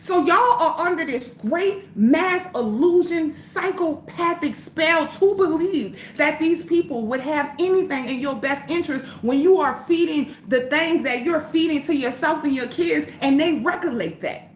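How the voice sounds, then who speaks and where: High-voiced woman ranting on a livestream. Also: measured pace, few pauses, echoey room, a little hiss or hum, poor audio. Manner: heated, profane, preachy. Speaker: livestream host. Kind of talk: profane livestream rant.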